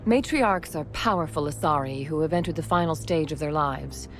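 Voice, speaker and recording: Actress as a dignified, low-voiced alien councilor woman. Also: soft background music, close to an everyday voice. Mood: grave, measured. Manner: formal, measured, stately. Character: dignified female alien councilor, calm and diplomatic. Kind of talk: video game cutscene acting.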